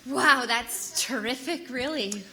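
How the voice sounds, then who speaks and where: A man's voice: very high-pitched, sing-song, unhurried, sharp tone, voice pushed up, full character voice. A man voicing an eager, gushing young woman who has just been hired.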